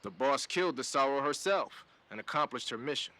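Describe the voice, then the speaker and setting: A man's voice: mid-range voice, expressive, brisk, bright, forceful tone. A man playing a talkative male radio support tech in a game cutscene, with casual, slangy banter. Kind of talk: video game cutscene acting.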